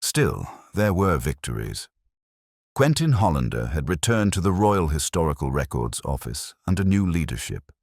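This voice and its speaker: Very deep-voiced male storyteller, measured and dramatic. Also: very animated, no room sound, studio quality. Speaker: audiobook narrator. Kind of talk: scripted dramatic narration of a Victorian detective story.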